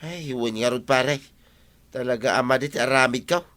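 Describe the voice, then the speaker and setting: A man's voice: mid-range voice, moderate pace, fairly bright tone, close to an everyday voice. A man with an Ilocano accent playing a folksy, chatty village husband in a radio comedy drama. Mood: confiding.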